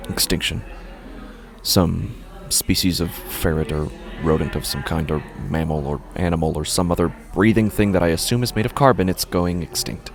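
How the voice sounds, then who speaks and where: An actor playing an anxious, cynical, philosophizing man in a horror audio drama, with a brooding, halting delivery full of questions and pauses. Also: deep voice. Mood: weary, cynical. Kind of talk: first-person dramatic monologue, horror audio fiction.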